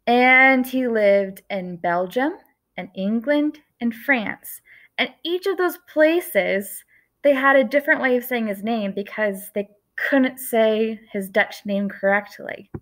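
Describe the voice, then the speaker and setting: Gentle, expressive woman teaching young kids. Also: on the high side. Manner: gentle, expressive storytelling with slow emphatic words. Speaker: art teacher. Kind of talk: lesson for young children.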